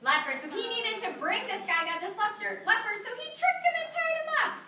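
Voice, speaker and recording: A woman teaching kindergarten reads a story aloud and keeps stopping to ask the children questions and cheer their answers. Her voice is high and bright with big swings in pitch. It is picked up from a distance in an echoey classroom with faint background hum. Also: brisk. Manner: animated, praising, coaxing young children. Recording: roomy classroom audio from a distance.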